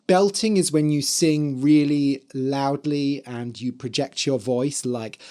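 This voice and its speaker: A podcast host and English teacher with a slightly hoarse, dry voice, explaining things clearly and carefully for language learners. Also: mid-range voice, takes it slowly, warm tone. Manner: careful enunciation, spelling words out letter by letter.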